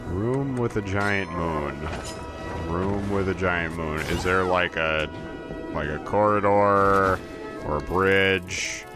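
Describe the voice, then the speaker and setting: A man's voice: deep voice, takes it slowly. A man giving casual, joking commentary on a video game, with a wry, wordy, mock-formal manner. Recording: game music behind the voice. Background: video game music and sound.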